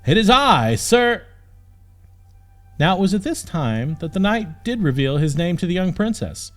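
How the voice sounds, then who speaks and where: Animated man narrating an audiobook. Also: fairly high pitch, a little fast. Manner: expressive storytelling. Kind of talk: scripted storybook narration.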